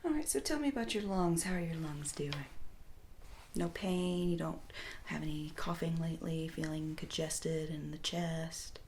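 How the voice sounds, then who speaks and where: A woman doing ASMR, playing a doctor at a checkup, soft-spoken and often whispering as she asks gentle questions close to a sensitive mic. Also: sits low, slight background noise. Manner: slow, gentle clinical questions. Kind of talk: ASMR medical exam roleplay.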